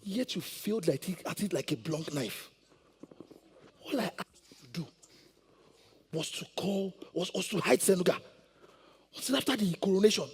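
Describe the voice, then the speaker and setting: A man's voice: high voice, lively intonation, moderate pace, pauses often, breathy edge, full character voice. A man with a Nigerian accent acting a domineering, hot-tempered royal plotter on stage, imperious and full of threats. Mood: bitter. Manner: imperious, barking threats and proverbs.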